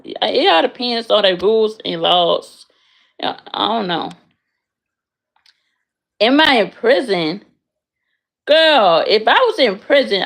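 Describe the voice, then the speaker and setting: Young woman streamer, casual and blunt, with a gravelly edge. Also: on the high side, a bit bright. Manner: blunt, frank, swears freely. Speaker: livestreamer answering chat. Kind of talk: casual livestream chat. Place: her bedroom, in bed.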